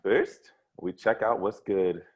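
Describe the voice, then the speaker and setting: A man's voice: deep voice, takes it slowly, breathy edge, exaggerated voice. A man voicing a very old, menacing mafia henchman with taunting tough-guy talk in an amateur table read. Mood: taunting menace.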